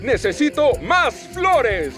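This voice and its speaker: Very high, bossy film worker. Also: male voice, sing-song, slow pace, over music, exaggerated voice. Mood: demanding. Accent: Latin American. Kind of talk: animated children's series dub.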